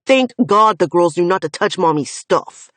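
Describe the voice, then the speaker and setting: A woman's voice: lowish voice, very animated, moderate pace, a little mellow, husky, dry recording. A woman reading an audiobook voices an angry, protective young man who swears and presses every word with intensity. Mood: furious.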